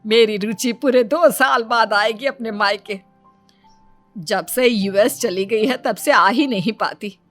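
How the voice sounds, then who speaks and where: A woman's voice: a little high, slow pace, soft background music. A woman playing a doting, nagging elderly Indian grandmother in a family cartoon story, fussing and exclaiming. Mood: delighted. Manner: scolding, fussing, exaggerated exclamations.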